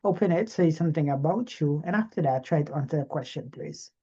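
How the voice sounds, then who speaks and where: Low, husky woman hosting. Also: animated, brisk, mellow. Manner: encouraging, short prompts. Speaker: host of an English practice session. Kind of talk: encouraging casual hosting. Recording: muffled lo-fi online call audio.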